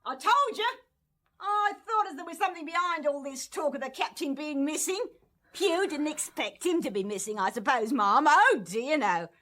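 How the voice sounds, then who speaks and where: A woman's voice: sits high, fairly bright tone. A woman playing an indignant, nosy working-class English landlady who bosses and scolds garrulously in a radio drama. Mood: indignant, scolding. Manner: scolding, garrulous, self-righteous.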